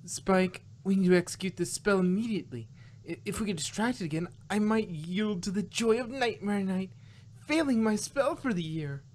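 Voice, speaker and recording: A woman's voice: medium pitch, animated, moderate pace, darkish tone, close to an everyday voice. A woman voicing an anxious, earnest young female pony who studies magic, flustered and stammering, in an amateur fan audio drama. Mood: flustered, worried.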